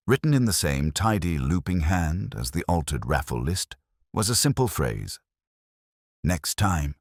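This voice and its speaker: A man narrating a scripted mystery story in a measured, literary way. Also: a bass voice, dry recording, clean studio sound.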